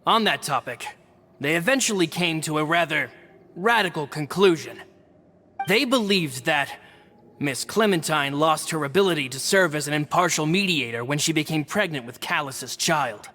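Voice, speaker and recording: A man playing a dutiful guild member in a game, measured and formal. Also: fairly high pitch, lively intonation, pushed and bright, slight background noise. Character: guild man leading his own men, dutiful.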